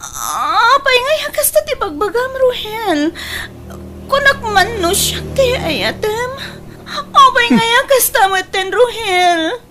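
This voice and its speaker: A woman's voice: very high voice, sing-song, slow, background noise, heavily acted. An actress with an Ilocano Filipino accent playing a distraught, tearful woman pleading with her lover in a radio drama. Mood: tearful, hurt. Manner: wailing, tearful.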